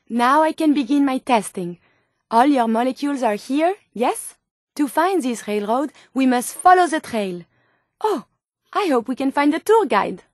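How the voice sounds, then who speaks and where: A woman's voice: high voice, dry recording, clean studio sound. A woman voicing an eager, idealistic robot scientist in a video game, speaking precise, formal lines with a French accent. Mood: eager wonder. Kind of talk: scripted video game companion dialogue.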